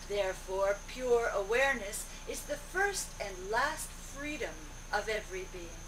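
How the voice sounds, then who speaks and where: A woman delivering a spiritual discourse in deliberate, declarative short phrases, as a speaker relaying a teaching aloud. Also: mid-range voice, expressive. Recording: distant mic, noisy amateur video.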